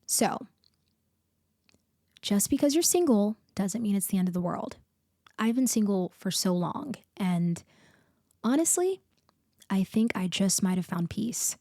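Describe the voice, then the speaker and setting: A woman plays a blunt, caring female best friend in an intimate comfort roleplay. Her medium-pitched voice is conversational and unhurried in feel, with small hesitant pauses. The sound is close and very dry. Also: lively intonation, mellow, no echo. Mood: reflective, reassuring. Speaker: audio roleplay performer. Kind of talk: intimate roleplay ASMR, casual one-sided conversation.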